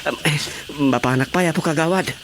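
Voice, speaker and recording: A man playing a humble, grateful blind beggar in a radio drama, pleading deferentially and haltingly. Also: fairly high pitch, background noise, natural voice.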